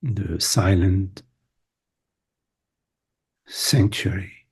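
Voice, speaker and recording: A man leading a guided meditation as a teacher, speaking in short contemplative phrases with long pauses. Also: low voice, slightly rough. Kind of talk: guided meditation, spoken softly to listeners.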